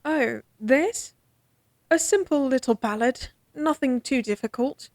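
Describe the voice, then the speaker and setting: A woman's voice: sits high, measured pace, a little mellow, no echo. An actress playing a proud young lady-in-waiting and court musician in a fantasy audio drama, formal and a bit exasperated. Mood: candid. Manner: crisp, formal, slightly exasperated.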